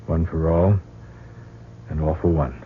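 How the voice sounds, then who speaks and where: A man's voice: extremely low pitch, fairly even intonation, unhurried. A man playing a plucky, wisecracking astronaut crewmate with a folksy, wry way of talking in an old radio drama. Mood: grim, loyal. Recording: muffled, noisy old radio recording.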